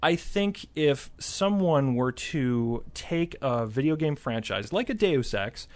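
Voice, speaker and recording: A man's voice: medium pitch, sing-song. Man thinking aloud on a podcast. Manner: halting, repeats words, thinks aloud. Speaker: film podcast panelist. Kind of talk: casual film discussion.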